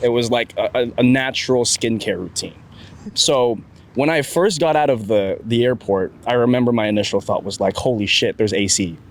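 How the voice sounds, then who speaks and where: A man's voice: mid-range voice, normal speed. A young man who grew up in the Philippines, a college student chatting as a guest in a laid-back, slangy way. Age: young adult. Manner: laid-back, slangy, says dude and bro a lot. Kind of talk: casual chat.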